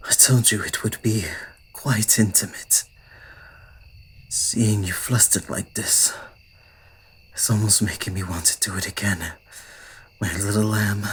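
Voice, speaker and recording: A man playing a courtly, smitten old-world vampire in an intimate ASMR roleplay, with formal phrasing that often slips into breathy whispers. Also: lowish voice, a bit bright, slight background noise. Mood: apologetic, adoring. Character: courtly, old-fashioned adult male vampire, yearning, polite, smitten. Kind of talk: ASMR roleplay audio drama addressed to the listener.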